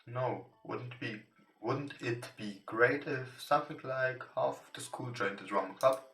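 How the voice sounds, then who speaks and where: A man who is not a native English speaker, casually commentating on a game and reading its text aloud in hesitant, halting English. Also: mid-range voice. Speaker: let's play host. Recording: roomy, distant mic with music behind. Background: soft guitar game music.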